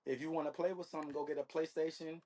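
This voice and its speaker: A man's voice: on the high side, steady tone, racing, a little mellow. A man speaking casually as an interview guest, using slang and repeated check-in phrases. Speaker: interview guest, reality dating show contestant. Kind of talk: casual conversational interview answers.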